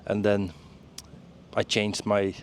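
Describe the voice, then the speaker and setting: Man from Holland, low voice, clipped phrases, faint outdoor noise. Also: completely flat delivery, slow. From Netherlands. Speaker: interviewee, e-commerce.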